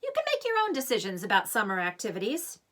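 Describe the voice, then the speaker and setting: An upbeat, teasing middle-aged dad in a children's storybook, acted out by a woman. The voice is bright and higher-set, with playful swings of pitch. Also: huge pitch swings, quick pace. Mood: easygoing. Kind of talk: children's novel read-aloud.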